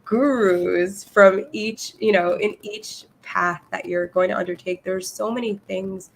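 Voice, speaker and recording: A woman hosting a podcast interview, a little hoarse and losing her voice, chatting eagerly with her guest. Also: medium pitch, steady tone, a little slow, slight background noise, poor audio. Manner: enthusiastic, reads guest bio aloud. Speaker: podcast host. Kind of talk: casual interview.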